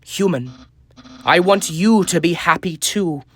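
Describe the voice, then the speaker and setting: A man voicing a vain, naive cartoon skeleton from a video game, with a grandiose, theatrical delivery that jumps between hushed asides and loud exclamations. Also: sits high, unhurried. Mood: tender, solemn. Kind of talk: amateur video game character dub. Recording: voice over background music.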